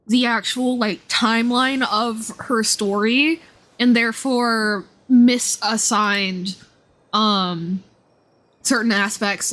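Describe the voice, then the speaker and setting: Enthusiastic, higher-pitched woman livestreaming. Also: pushed and bright, slight background noise, rough recording. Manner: enthusiastic, rambling, thinking aloud. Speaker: live streamer. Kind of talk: casual livestream commentary on game lore.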